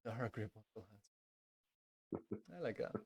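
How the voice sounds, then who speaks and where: Casual, halting man co-hosting. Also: lowish voice, darkish tone. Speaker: podcast co-host. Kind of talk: casual chat.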